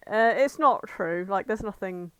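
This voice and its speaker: A woman chatting casually as a podcast co-host, blunt and quick to swear. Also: mid-range voice, clear, resonant tone, no echo. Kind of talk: casual chat.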